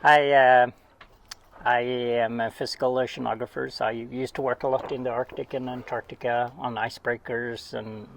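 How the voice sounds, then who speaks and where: A man's voice: medium pitch, little pitch movement, moderate pace, creaky, fairly bright tone, slight background noise. A middle-aged man from Sweden with a Swedish accent, a guest oceanographer chatting casually and self-deprecatingly, recorded in a small cabin. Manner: rambling, self-deprecating, with laughs and restarts. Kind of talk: casual interview chat.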